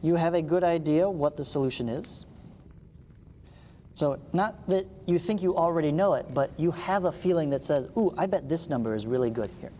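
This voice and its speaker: Male teacher, mid-to-high pitched mellow voice, read-along lesson with casual asides, reverberant recording with faint noise. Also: moderate pace. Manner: explanatory, reading aloud with informal asides. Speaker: math teacher. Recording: reverberant room with background hiss.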